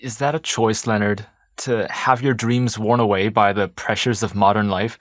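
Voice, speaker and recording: A man's voice: lowish voice, fairly even intonation, moderate pace, fairly bright tone, no room sound, studio quality, lightly acted. A young man acting a challenging, probing character in stage drama dialogue. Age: young adult.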